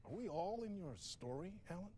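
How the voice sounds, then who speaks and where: An actor playing an exuberant, slick late-night TV host in a video game, bantering with showy, effusive chattiness. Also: male voice, high-pitched, big pitch swings, brisk, mellow, a little hiss or hum. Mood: playfully intrigued. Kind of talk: theatrical talk show interview banter.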